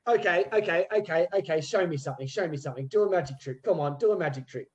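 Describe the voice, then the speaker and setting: A man's voice: a little high, fast, darkish tone, husky, lo-fi sound. A man doing a put-on British accent as a scheming petty crook in an improv scene, rambling with slang and restarts. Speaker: improv player.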